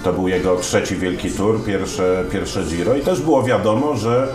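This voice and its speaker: A man in his fifties with a lowish voice talks through a relaxed interview, winding through his stories with fillers and self-corrections. His voice carries a roomy echo, and music plays clearly behind him. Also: normal speed. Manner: storytelling, many fillers and restarts. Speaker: sports commentator, interview guest. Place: dim room lined with wine shelves, indoors. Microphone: lapel mic.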